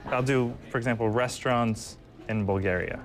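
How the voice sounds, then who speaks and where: A man's voice: medium pitch, fairly even intonation, moderate pace, music in the background. A man giving a casual, step-by-step product explanation in an interview. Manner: informal, explains step by step with examples. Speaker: product manager being interviewed.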